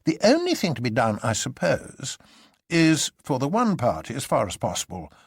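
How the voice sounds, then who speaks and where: Man voicing exuberant pompous gentleman, swooping pitch. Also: huge pitch swings, creaky, breathy edge, no room sound, clean studio sound, heavily acted. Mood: radiant, unperturbed. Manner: pompous, grandiloquent, deliberate. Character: Syme, exuberant, unflappable gentleman given to pompous nonsense.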